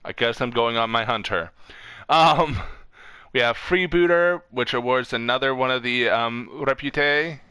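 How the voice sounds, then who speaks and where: A man's voice: medium pitch, a little slow, sharp tone, clean tone, no room sound. A man hosting a casual gaming stream, reading off lists informally, stumbling over words and correcting himself. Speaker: streamer host.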